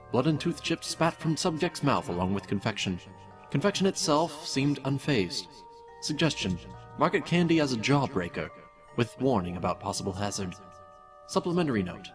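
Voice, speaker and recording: A man's voice: medium pitch, close to an everyday voice. A man voicing a cold, detached mad scientist who pompously dictates cruel experiment notes in a fan-made audio drama. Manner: clinical, detached, pompous, menacingly polite. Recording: distant voice with music behind.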